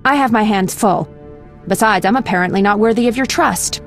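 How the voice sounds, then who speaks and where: A proud young fantasy game healer, acted by a woman, at a middling pitch. She is curt and sarcastic, nursing resentment, with faint music behind her. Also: brisk, natural voice. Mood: hurt, cold. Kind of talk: video game dialogue acting.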